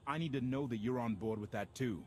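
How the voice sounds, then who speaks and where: An actor playing a plain-spoken former warship captain in a game cutscene. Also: male voice, mid-range voice, a little fast, close to an everyday voice. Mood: serious. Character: blunt former warship captain, earnest man. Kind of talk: video game cutscene acting. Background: game music.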